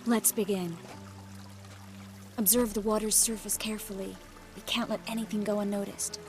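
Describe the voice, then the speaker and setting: A woman's voice: mid-range voice, flat delivery, moderate pace, soft background music, lightly acted. A woman playing a composed, purposeful ally of a monster hunter in a video game, earnest and focused.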